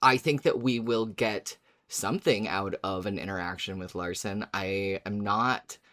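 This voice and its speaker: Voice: low-pitched, a little slow, fairly bright tone. A podcast co-host chatting casually about a story, musing and thinking aloud.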